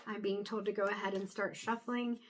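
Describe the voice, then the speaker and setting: A woman giving a casual intuitive tarot reading to camera, chatty and thinking aloud as she goes, her voice going hoarse and breaking into throat clears. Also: medium pitch, level tone, fairly quick, background noise. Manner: chatty, thinks aloud, frequent fillers and restarts. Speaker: tarot reader.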